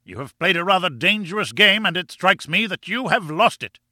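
A furious, booming, pompous professor. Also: male voice, expressive, moderate pace, pushed and bright, dry recording, studio quality, exaggerated voice. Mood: raging contempt. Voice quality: rumbling, booming roar. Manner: pompous, menacing, insulting. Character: short, barrel-built furious professor, bullying and contemptuous. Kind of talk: audiobook character acting.

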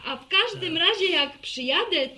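An actress playing a lively, cocksure young village daughter in a radio serial, speaking with emphatic, explaining delivery. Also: female voice, very high-pitched, moderate pace, full character voice. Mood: eager reading. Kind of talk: Polish radio serial acting, rural family drama.

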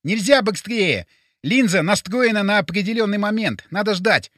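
A man voicing a bossy, excitable, self-important male sidekick with a speech impediment on his R sounds, speaking emphatically. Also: high voice, normal speed, no echo, clean studio sound, pitched above the performer's own voice. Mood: brisk explaining. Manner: emphatic, insistent.

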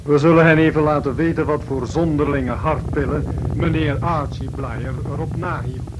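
A man playing a calm, authoritative airliner captain in a radio play. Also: a little high, moderate pace, very noisy, lightly acted. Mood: grave. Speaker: aircraft commander. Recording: old radio play, loud aircraft drone.

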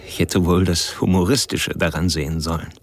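An actor playing a polite, ironic, quietly sinister man confiding a measured, self-righteous monologue in a radio drama. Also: low-pitched, level tone, slight background noise, lightly acted. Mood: bitter irony. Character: polite, ironic, secretly sinister male narrator confiding his thoughts.

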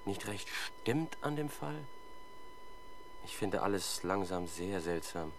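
A man's voice: low-pitched, animated, slow, noisy background, exaggerated voice. A man acting as a skeptical, wry junior police detective in a 1970s German crime radio play. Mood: doubtful. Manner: dry, questioning, cheeky.

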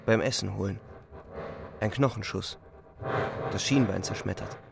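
An actor playing a young, weary front-line soldier who narrates a radio play in a sober, restrained, matter-of-fact way. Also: male voice, on the low side, measured pace, vocal fry. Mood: bleak, matter-of-fact. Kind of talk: scripted radio drama narration. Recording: music faintly behind the voice. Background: music.

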